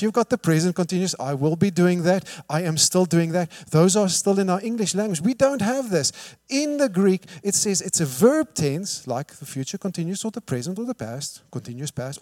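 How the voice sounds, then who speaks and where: A man's voice: high voice, dry recording, studio quality. Emphatic preacher man. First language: Afrikaans. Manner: emphatic, rhetorical questions, swings from hushed to loud. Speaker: pastor. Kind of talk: sermon.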